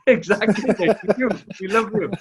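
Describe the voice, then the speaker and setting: A man's voice: high voice, normal speed, background noise. A Welsh man hosting a podcast interview, chatty, steering with leading questions and telling his own anecdotes. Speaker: podcast host.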